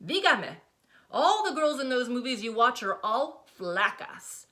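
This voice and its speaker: A fed-up, fiercely protective mother, put on by a woman reading aloud lectures in high, punchy, emphatic bursts. Her voice is bright and cutting, and it climbs well above a plain speaking voice. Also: animated, a little slow. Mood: scolding. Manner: sharp, rising, emphatic scolding. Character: exasperated scolding middle-aged mother, fiercely protective.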